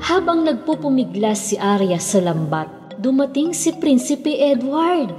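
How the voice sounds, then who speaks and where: A woman's voice: sits high, big pitch swings, a little slow, a little mellow. A woman narrating a children's fairy tale as a storyteller, in a scripted style. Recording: long reverb, music behind.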